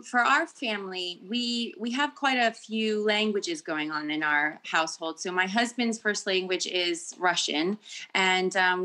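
A middle-aged woman as a podcast guest, telling a personal story with many pauses and ums. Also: medium pitch, sharp tone.

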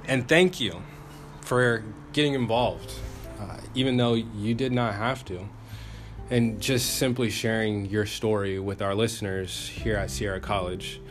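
A man hosts a podcast interview and asks his questions hesitantly, trailing off and restarting with an uh. His voice sits a little low for a man. Soft music plays faintly behind him.

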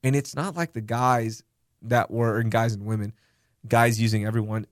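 A young man, born in Pakistan, hosting a casual podcast chat, telling candid, animated stories with some swearing. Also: medium pitch, normal speed, dry recording, studio quality. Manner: candid, animated storytelling with profanity. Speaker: podcast host.

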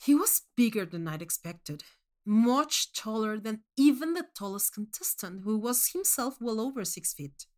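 A woman reading a first-person short story aloud as narrator, in an expressive, dramatic manner. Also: fairly high pitch, measured pace.

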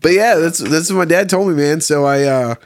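A man's voice: on the high side, brisk, no room sound, clean studio sound. A man in his thirties from Virginia, a podcast guest talking casually, laid-back, laughing often and swearing freely. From Virginia, USA. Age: 30s. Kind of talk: casual chat.

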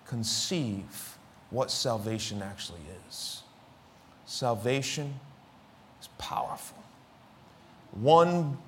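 A middle-aged male pastor with a Philadelphia accent, preaching with dramatic swings between loud declarations and hushed words, and acting out conversations as he tells stories. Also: medium pitch. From Philadelphia inner city. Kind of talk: sermon.

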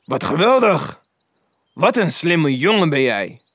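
A man voicing a hearty, exclamatory old man, giddy and generous with a mock-gruff streak, in a storybook reading. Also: a little high, big pitch swings, moderate pace, a bit bright, no room sound, voice pushed up. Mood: excited, delighted.

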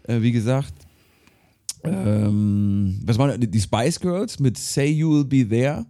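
A man in his thirties trading jokes on a casual podcast, animated as he spins his stories. His voice sits in a mellow, darker register at an ordinary pitch. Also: takes it slowly. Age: 30s. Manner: animated storyteller, jokey. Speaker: podcast co-host. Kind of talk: casual banter about music.

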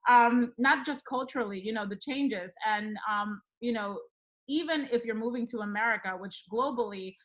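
A woman's voice: on the high side, moderate pace, rough recording. A woman from the Dominican Republic hosting a casual chat, candid and rambling with many fillers. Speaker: host.

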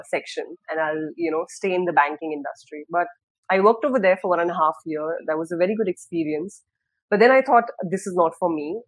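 A woman's voice: on the low side, no room sound, poor audio. Woman guest with a dry, close sound. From Dubai. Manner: thoughtful, many fillers and restarts. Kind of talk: casual interview talk.

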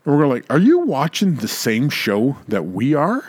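A man's voice: mid-range voice, huge pitch swings, normal speed, a little mellow, slightly rough, no echo. A man co-hosting a podcast in casual, opinionated chat, making points emphatically and repeating words for stress. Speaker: podcast co-host.

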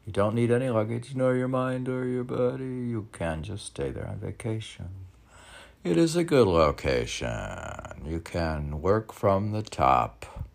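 A man's voice: fairly low pitch, expressive, unhurried, gravelly. A man reading his own poetry, drifting into musing, meandering asides as if talking to himself. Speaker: poet reading own work.

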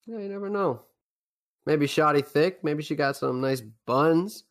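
A young man from Newfoundland, Canada, with a Newfoundland accent, co-hosting a casual podcast chat and telling rambling, animated stories. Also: on the high side, a little slow. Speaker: podcast co-host.

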